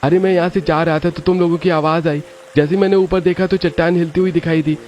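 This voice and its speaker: Man acting a helpful lovestruck young cartoon man, raised pitch. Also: steady tone, quick pace, darkish tone, slight background noise, lightly acted. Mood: friendly. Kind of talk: cartoon story dialogue.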